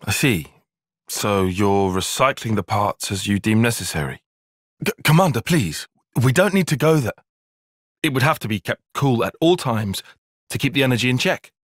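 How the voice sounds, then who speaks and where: Game cutscene role: male actor as earnest young male tactician, bookish and analytical, animated intonation, slightly husky, dry close sound. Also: medium pitch, no echo, natural voice. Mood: calm, thoughtful. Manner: measured, formal, analytical phrasing. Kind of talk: video game cutscene voice acting.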